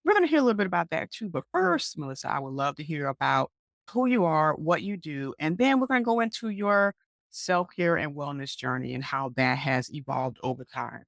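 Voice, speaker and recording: A woman from Georgia hosting an interview podcast, animated and encouraging in casual chat. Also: sits low, fairly quick, creaky, no room sound, studio quality. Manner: animated, encouraging, lots of affirming backchannels. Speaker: podcast host.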